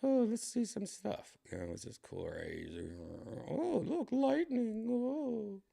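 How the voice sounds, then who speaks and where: Raspy, slow-talking tipsy man. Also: high-pitched, very animated, dark tone. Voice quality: raspy, dry throat. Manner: rambling, slow, clears throat often. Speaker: comedian streaming host. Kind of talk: casual livestream chat.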